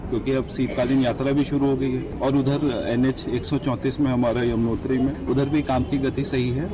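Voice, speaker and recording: A man's voice: medium pitch, low-quality recording. A man with an Indian accent, a government official giving an unscripted spoken update. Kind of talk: spontaneous spoken statement to the press.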